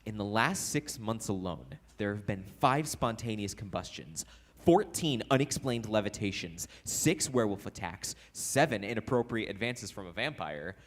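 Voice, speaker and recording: A man's voice: on the low side, animated, moderate pace. A man playing a hapless, earnest apprentice ghost hunter in a live comedy sketch, sounding eager and sheepish. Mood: eager reciting. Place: recreation center hall, live stage performance.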